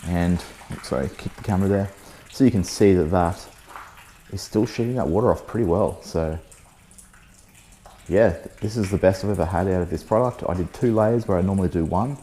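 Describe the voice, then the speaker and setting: A man with a lowish, mellow voice that has gone slightly hoarse. He talks in a relaxed, chatty way as he walks through a car-care test, filling gaps with easy "so yeah" asides. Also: a little fast, a little hiss or hum, rough recording. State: losing his voice, a bit hoarse. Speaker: car detailing channel host. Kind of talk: casual product-test vlog narration. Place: outdoors beside a test panel.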